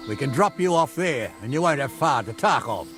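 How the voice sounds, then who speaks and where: An actor playing a gruff but kindly older medieval captain in a video game, with a gravelly voice. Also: male voice, medium pitch, lively intonation, fairly quick, fairly bright tone, faint music behind, lightly acted. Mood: gruffly warm. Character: gruff older male medieval captain, hearty and begrudgingly kind. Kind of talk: video game drama acting.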